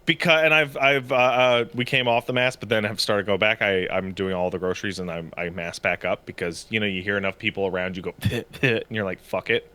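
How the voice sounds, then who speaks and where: A man co-hosting a podcast in casual chat, sniffly, telling stories in an animated way with lots of swearing. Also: on the low side, brisk, hardly any pauses, smooth. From Canada. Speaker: podcast co-host.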